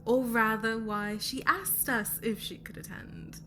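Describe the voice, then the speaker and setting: A woman's voice: fairly high pitch, steady tone, measured pace, over music, lightly acted. A woman playing a haughty noblewoman on a company board, speaking with condescending politeness in an ASMR roleplay. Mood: sly, amused.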